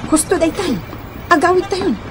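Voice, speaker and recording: A woman's voice: very high voice, animated, normal speed, noisy background. A woman playing a young child who pleads with a mother, in a radio drama, with an Ilocano accent. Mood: pleading.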